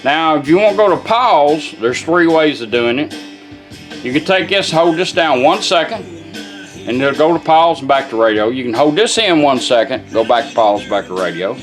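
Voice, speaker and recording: A man's voice: on the high side, expressive, normal speed, faint music behind. A man giving a casual, informal hands-on product demonstration in a folksy way. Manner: folksy, informal, talks to the gadget.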